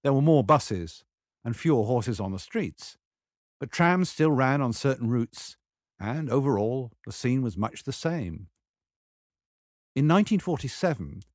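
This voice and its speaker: A man reads a memoir in a measured, wry storytelling manner. His pitch sits in the middle range but moves around in a lively way. The sound is close and dry, with very little room on it. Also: no room sound. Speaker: memoir reader. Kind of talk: scripted memoir narration.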